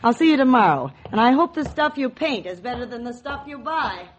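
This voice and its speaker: Brusque, lively gallery owner, old radio. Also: female voice, a little high, fairly quick. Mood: wisecracking. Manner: curt, no-nonsense, wisecracking. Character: brusque, tough-talking bohemian woman gallery owner, shrewd. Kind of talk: 1940s radio noir acting. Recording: muffled, hissy 1940s radio recording.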